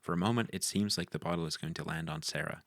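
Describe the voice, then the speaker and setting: A man's voice: deep voice, quick pace, vocal fry, fairly bright tone, dry recording, clean studio sound. A man reading scene headings and stage directions as narrator at a script table read.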